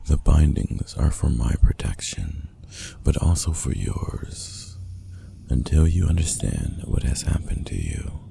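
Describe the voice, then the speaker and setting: A man playing a stern, possessive ruler of an underground city in a fantasy ASMR roleplay, speaking formally in a gravelly, hushed half-whisper. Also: rumbling low, very animated, a little hiss or hum, exaggerated voice. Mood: calm, soothing. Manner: formal, archaic, commanding, measured.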